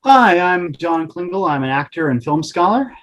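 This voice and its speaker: A man, an actor and film scholar, giving measured analysis in a panel discussion. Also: high voice, sing-song, fairly bright tone.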